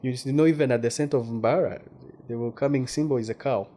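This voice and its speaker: A Ugandan man from the west of the country talks as an interview guest at a middle pitch. He is thoughtful and restarts phrases as he searches for words. A faint hiss sits under the voice. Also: fast, mellow. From Western Uganda. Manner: thoughtful, repeats words while searching for them. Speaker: guest journalist. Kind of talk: casual interview talk.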